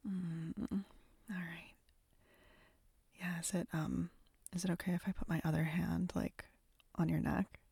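A woman whispering softly and intimately close to the mic, in a slow, hushed audio roleplay. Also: on the low side, flat delivery, gravelly, breathy edge, no echo.

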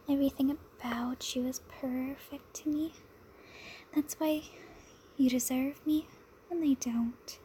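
A woman delivering an intimate adult roleplay monologue straight to the listener, drifting between breathy whispers and soft, sultry murmurs. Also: high voice, slight background noise.

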